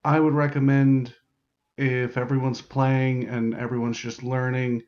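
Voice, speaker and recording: A man's voice: mid-range voice, level tone, measured pace, highs cut off. A man giving an improvised, casual tutorial as a game host, informally thinking aloud in loose phrases. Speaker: host explaining how to write larp game modules.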